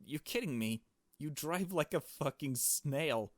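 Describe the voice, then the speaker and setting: A man's voice: on the high side, animated, a little slow, no echo, studio quality, pitched above the performer's own voice. A man voicing a hot-tempered, impatient young male accomplice in a visual novel, brash, sarcastic and foul-mouthed. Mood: exasperated.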